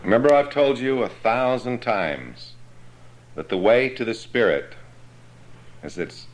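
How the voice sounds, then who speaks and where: Male preacher for a Bible class, unhurried and explanatory, mid-pitched. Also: a little hiss or hum. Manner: slow, explanatory, checks understanding with the listeners. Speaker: Bible teacher.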